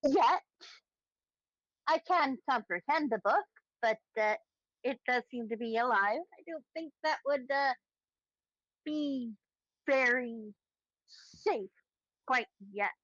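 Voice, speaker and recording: High, dry-sounding woman on muffled mic. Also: animated, slow pace, pauses often, a bit bright, no room sound. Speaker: tabletop game player. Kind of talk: in-game roleplay chat.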